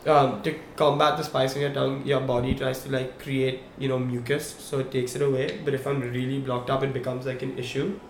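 A man's voice: medium pitch, brisk, few pauses. A young man, a podcast co-host, telling a long story in casual chat and checking in with his listeners as he goes. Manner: animated storyteller, checks in with "okay? right?". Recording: echoey room, faint background hum.

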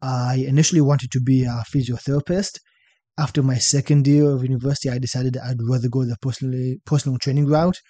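A young man from Israel with an Israeli accent, chatting as a podcast guest and telling stories in a relaxed way, often ending points with "right?". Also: medium pitch, quick pace, no echo. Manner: relaxed storytelling, tags statements with "right?". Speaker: podcast guest, fitness coach. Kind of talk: casual interview chat.

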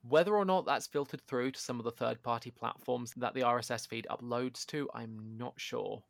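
A man co-hosting a podcast in casual chat, loud and animated, often poking fun at himself. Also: medium pitch, normal speed, creaky, no room sound. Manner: animated, self-deprecating, loud. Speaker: podcast co-host. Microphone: home podcast microphone.

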